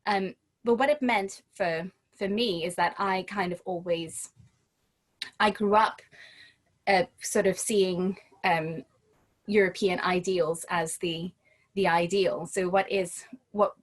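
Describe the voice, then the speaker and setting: A woman talks as a guest in a casual conversation. Her pitch sits in the middle of the usual range for a woman. She thinks aloud and often restarts a phrase before finishing it. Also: fairly even intonation, measured pace. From Trinidad and Tobago. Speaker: guest, home educator.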